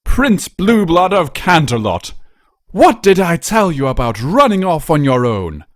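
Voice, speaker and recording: Stern matron mare for a storybook, played by a man: raised pitch, loud, booming, scolding, regal. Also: big pitch swings, a little slow, no room sound, clean studio sound. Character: commanding, distinguished matron mare, stern royal mother.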